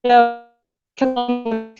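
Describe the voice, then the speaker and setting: A woman's voice: on the high side, little pitch movement, very slow, lo-fi sound, over music. A young woman chatting casually on an online call in an exasperated, slangy way, venting as she goes. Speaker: stream guest. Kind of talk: casual chat.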